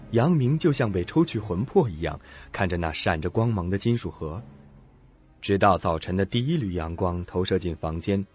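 A man with a very low voice narrates a suspense radio drama. His delivery is dramatic and ominous, like scripted storytelling. The sound is a little dull, with faint music behind him. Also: a little mellow. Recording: dull lo-fi recording with music bed.

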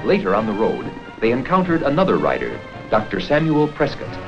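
A man reading scripted documentary narration for an educational history film in a formal, measured storytelling manner. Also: fairly low pitch, huge pitch swings. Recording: dull 16mm film soundtrack with music.

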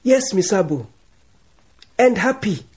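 An actor playing a gentle, respectful young Kenyan servant boy in a radio drama. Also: high voice, animated, takes it slowly. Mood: gentle, thoughtful.

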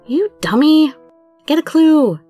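A woman acts out a feisty young heroine of a romance tale. Her voice rises high and swings animatedly, emphatic and indignant, with hurt under the exasperation. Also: very animated, normal speed, a little mellow, faint music behind. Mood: exasperated. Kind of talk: dramatic romance story reading.

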